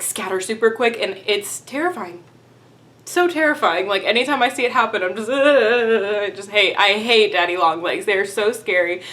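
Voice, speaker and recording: A woman's voice: mid-range voice, a bit bright. A young woman from Texas with a light Texan accent, chatting casually in a vlog, giggly and full of "like"s. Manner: giggly, chatty, rambling with many "like"s. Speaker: beauty vlogger.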